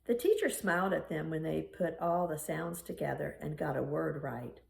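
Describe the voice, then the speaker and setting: A woman reading a children's picture book aloud in an expressive storytelling style. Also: low-pitched, normal speed, creaky. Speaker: storybook reader. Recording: roomy, echoing room sound.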